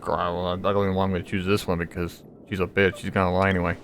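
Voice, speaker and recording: A man's voice: low-pitched, flat delivery, rapid-fire, fairly bright tone, slight background noise. A man commenting casually on a game as he plays, blunt and irritated, with a sweary way of talking. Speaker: gaming video host.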